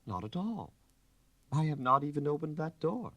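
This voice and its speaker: A man's voice: a little high, big pitch swings, normal speed, a bit bright, voice pushed up, full character voice. A man putting on a lifted-voice, poised countess. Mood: surprised, defensive. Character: poised aristocratic countess, a wife, candid and assured.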